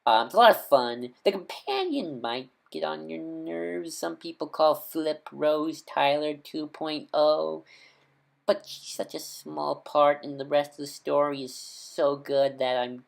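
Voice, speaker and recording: A man's voice: on the high side, slow, vocal fry. A man talking casually as a video host, an enthusiastic fan who rambles with lots of ums and corrects himself mid-sentence.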